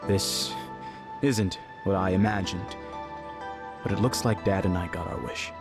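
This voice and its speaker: An actor playing a cool, skeptical, proud young man in a video game, speaking in a measured, formal way. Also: low voice, steady tone, music in the background. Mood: somber resolve.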